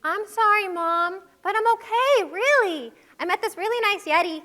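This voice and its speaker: A woman playing a fearless, eager, friendly little village girl in a children's puppet show, excitable and full of exclamations. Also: very high voice, moderate pace. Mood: earnest.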